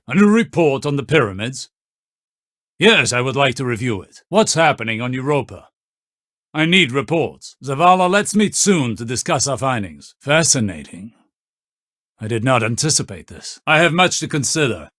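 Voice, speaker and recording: A man playing a composed, scholarly mentor in a video game, with measured, formal and authoritative delivery. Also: medium pitch, fairly bright tone, dry recording, studio quality. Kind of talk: scripted game character barks.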